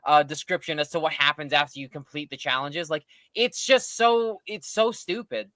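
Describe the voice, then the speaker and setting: A man's voice: on the high side, moderate pace, fairly bright tone, dry recording. A man in a casual, informal chat about movies and games, with a complaining manner. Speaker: panelist.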